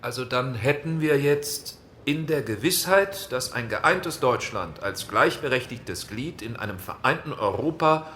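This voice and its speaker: A man's voice: mid-range voice, animated, measured pace, hardly any pauses, fairly bright tone, slight background noise. A man playing a careful, conciliatory post-war committee member, speaking formally and politely in a radio docudrama. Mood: considered, diplomatic.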